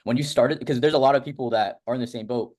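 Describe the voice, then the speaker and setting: A man's voice: mid-range voice, fairly even intonation, fast, a little mellow. A young man hosting a casual interview, talking in a rambling, informal way full of filler words. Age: young adult. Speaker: host interviewing a student of a business academy.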